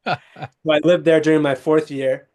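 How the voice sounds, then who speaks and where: A young man from Texas, a legal scholar, speaking as a guest in an interview, articulate and measured with small restarts. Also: a little high, fairly even intonation, a little mellow. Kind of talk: conversational interview storytelling.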